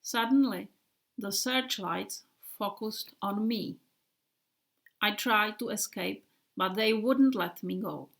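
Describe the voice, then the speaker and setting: A woman voicing a male bomber airplane who tells his wartime tale in a slow, dreamy way with hushed passages. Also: medium pitch, gravelly. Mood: dramatic.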